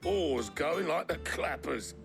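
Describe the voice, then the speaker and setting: An actor playing a chatty, cheeky boatman with a gravelly voice and a working-class English accent, droll and colloquial in a video game. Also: on the high side, expressive, slow pace, over music, full character voice. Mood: amused, easygoing. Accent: British, working-class English. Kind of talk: character voice acting in a video game.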